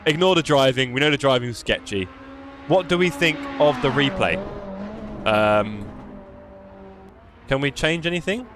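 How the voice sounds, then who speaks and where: A man's voice: mid-range voice, expressive, unhurried, pauses often, background noise. Jokey London man streaming a racing game. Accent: London English. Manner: chatty, jokey, reads chat aloud. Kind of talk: live stream chat while gaming.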